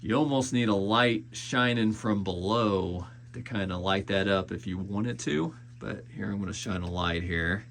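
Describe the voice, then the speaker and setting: A man with a fairly low voice and a strong vocal fry talks through a collectible in a casual review style. His delivery is informal and a little hesitant, trailing off and returning to points as he goes. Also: moderate pace, few pauses, a bit bright, a little hiss or hum.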